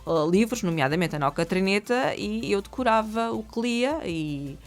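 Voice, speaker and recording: A woman's voice: medium pitch, animated, moderate pace. A middle-aged woman whose first language is not Portuguese, speaking with a European Portuguese accent as a guest in a radio interview, with careful, literary phrasing. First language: another language. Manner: articulate, literary phrasing with frequent laughs. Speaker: author and guest.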